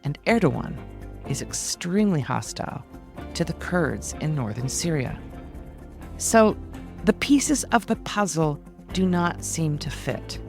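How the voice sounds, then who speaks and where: Measured, lower-voiced woman hosting. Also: expressive, vocal fry, a little mellow, soft background music. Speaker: podcast host and interviewer. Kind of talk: scripted introduction and formal interview questions.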